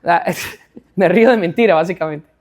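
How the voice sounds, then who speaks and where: A woman interpreting a sermon live for a church congregation, following the preacher line by line in a quick, conversational manner. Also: lowish voice, a little mellow, dull audio. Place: church stage before a congregation.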